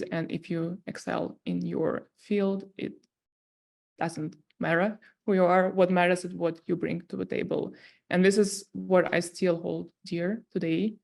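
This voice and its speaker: A woman's voice: fairly low pitch, vocal fry, a little mellow. A woman with a strong Russian accent, a guest in an interview, telling her story in earnest with frequent fillers. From southern Russia. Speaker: guest, tech company CEO.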